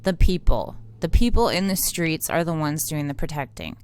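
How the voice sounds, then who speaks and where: A woman's voice: low voice, animated, normal speed, darkish tone, clear, resonant tone. An American woman giving impassioned, unscripted political commentary, blunt and indignant, swearing freely. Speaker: independent online political commentator and activist.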